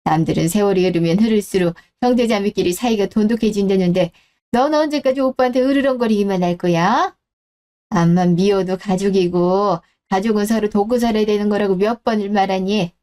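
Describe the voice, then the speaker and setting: A woman voicing a gentle, self-effacing elderly mother in a radio drama who speaks in a soft, apologetic, consoling way. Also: mid-range voice, a little fast, lightly acted. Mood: scolding. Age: older.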